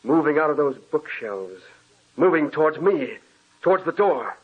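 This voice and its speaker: An actor playing a haunted, sleepless man losing his mind, speaking with feverish, halting repetition in old-time radio horror style. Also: a little high, expressive, slightly rough. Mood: grim obsession. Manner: intense, halting, repeats words feverishly. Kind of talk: 1940s radio horror acting. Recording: muffled old radio recording.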